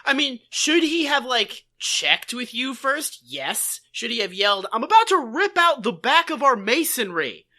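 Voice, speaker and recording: A woman with a bright, forceful voice and very animated intonation talks as a podcast co-host. She gives opinionated, comedic commentary on a TV show in casual slang peppered with profanity. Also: fairly high pitch, measured pace, few pauses. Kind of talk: casual comedic chat recapping an old TV show.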